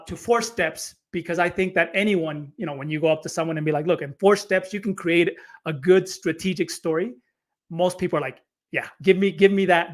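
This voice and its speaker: A man from Chile, a storytelling coach, explaining his method with energy in a podcast interview, often repeating words as he starts a phrase. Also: a little high.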